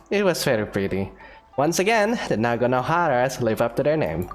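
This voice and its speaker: Very low-voiced woman playing a game. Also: big pitch swings, normal speed, faint music behind. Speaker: gamer playing through a story quest. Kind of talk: casual game playthrough, reading dialogue and commenting.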